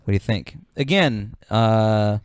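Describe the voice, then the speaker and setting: A man's voice: on the low side, unhurried, clear, resonant tone, dry recording. Man hosting a casual podcast, dry sound. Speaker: podcast host reading out viewer suggestions. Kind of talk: casual group chat.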